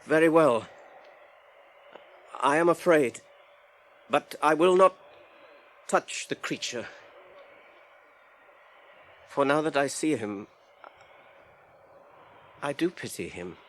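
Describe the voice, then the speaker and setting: An actor playing an earnest, firm, burdened hobbit in a radio drama, speaking in a measured, commanding way. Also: male voice, fairly high pitch, animated. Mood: fearful, pitying. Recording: old radio drama, faint hiss.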